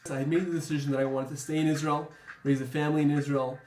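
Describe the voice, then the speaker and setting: A man's voice: on the high side, flat delivery, a little mellow, slight background noise. Reflective young man in a roomy space. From United States. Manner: measured, reflective, with frequent ums. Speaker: former soldier interviewee. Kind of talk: earnest interview testimony.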